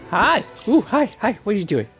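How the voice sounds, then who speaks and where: Higher-pitched man streaming a video game. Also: sing-song, normal speed, a bit bright, soft background music. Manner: rambling, thinking aloud, addressing viewers as folks. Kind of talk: casual livestream game commentary.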